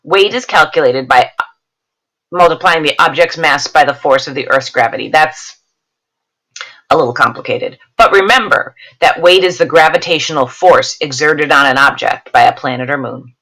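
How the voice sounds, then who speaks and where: A woman teacher reading a science lesson aloud, adding explanatory asides and stressing key terms in a deliberate, instructive way. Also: low-pitched, a bit bright, rough recording.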